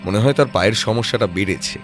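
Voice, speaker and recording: An actor playing a composed, analytical private detective in an audio drama, reasoning aloud in a measured way. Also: male voice, on the low side, soft background music, natural voice. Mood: measured, puzzled.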